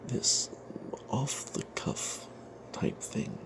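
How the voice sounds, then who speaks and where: A man telling an off-the-cuff fantasy game story in ASMR style, breathy and half-whispered, halting, with playful old-fashioned flourishes. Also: noisy background. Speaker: storyteller narrating a tabletop game tale.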